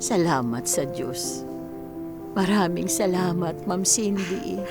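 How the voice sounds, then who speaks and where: A woman's voice: fairly low pitch, lively intonation, slow pace, music in the background. A woman playing a humble, grateful, deferential new housemaid in a Filipino radio drama.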